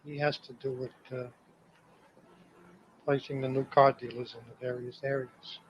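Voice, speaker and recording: A middle-aged man dictating plain, deliberate memos to himself, pausing between phrases, on an old home cassette tape. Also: mid-range voice, flat delivery, lo-fi sound, slight background noise. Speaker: car business owner recording spoken notes. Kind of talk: spoken memo to himself.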